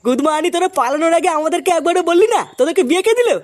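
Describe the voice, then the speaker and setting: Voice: extremely high pitch, animated, racing, darkish tone. An actor voicing an irritable, foul-mouthed young neighborhood busybody in a comic cartoon, scolding and exasperated. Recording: clearly noisy background with clicks.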